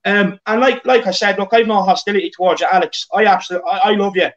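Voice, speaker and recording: A man's voice: high-pitched, fairly even intonation, fast, lo-fi sound. A man with an Irish accent hosting a casual live football chat, reading out viewer comments with lots of fillers and stammered restarts. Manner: reads out live viewer comments, filler-heavy, repeats words. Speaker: host.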